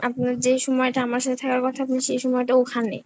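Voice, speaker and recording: Young woman, Bangladeshi accent, highish pitch, rapid firm reproachful phone argument. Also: monotone, a little mellow. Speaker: caller. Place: recorded phone call.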